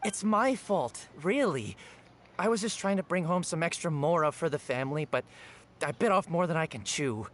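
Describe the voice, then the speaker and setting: A man's voice: fairly high pitch, animated, normal speed, fairly bright tone. A man voicing an eager, humble young newspaper seller in a video game, polite, apologetic and flustered. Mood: humble, insistent. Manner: polite, flustered, self-effacing. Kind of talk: video game character dialogue.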